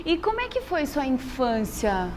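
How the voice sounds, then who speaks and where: A woman's voice: sits high, huge pitch swings, moderate pace, long reverb tail, over a noisy crowd. A woman hosting a web TV show, introducing and interviewing her guest. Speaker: TV show host. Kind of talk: interview host.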